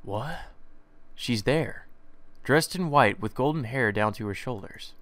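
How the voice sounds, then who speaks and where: A man's voice: medium pitch, lively intonation, unhurried, fairly bright tone, no room sound. A young man reading a visual novel aloud as a let's play narrator, earnest and often correcting himself mid-line. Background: guitar music.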